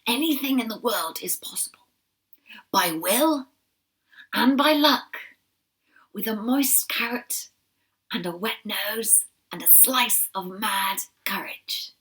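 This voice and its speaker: A woman voicing an old, battle-scarred male rabbit veteran who speaks in a hushed, wistful way, dropping at times to a whisper. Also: a little high, lively intonation, slow pace, long pauses, slightly rough, exaggerated voice.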